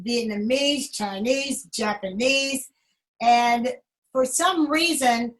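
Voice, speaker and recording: A woman speaking as a guest on a talk panel, her voice high and her delivery slow. She pauses and fills gaps with ums as she works toward her point. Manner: slow, hesitant, many ums. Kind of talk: public affairs panel talk.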